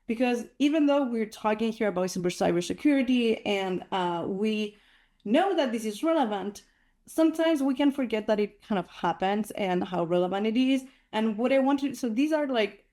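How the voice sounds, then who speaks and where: A woman's voice: mid-range voice, warm tone. A woman from Chile giving a conversational conference talk, full of "like" and "uh" fillers. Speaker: invited speaker.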